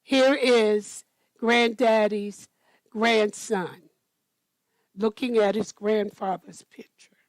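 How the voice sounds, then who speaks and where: A woman storyteller reading a children's picture book aloud in a deliberate manner. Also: medium pitch, steady tone, no echo.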